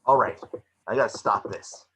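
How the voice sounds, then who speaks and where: A man voicing a small, scrappy, wisecracking creature detective with a husky voice in an amateur script reading. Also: lowish voice, normal speed, fairly bright tone, a little hiss or hum, natural voice, poor audio. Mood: determined. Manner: wry, muttering to himself then exclaiming. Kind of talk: amateur online table read of a film script.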